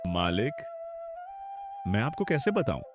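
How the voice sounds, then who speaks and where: A man's voice: mid-range voice, huge pitch swings, measured pace. An actor playing a poor farmer and father in a cartoon, sorrowful and pleading. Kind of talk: cartoon voice acting. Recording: music behind the voice.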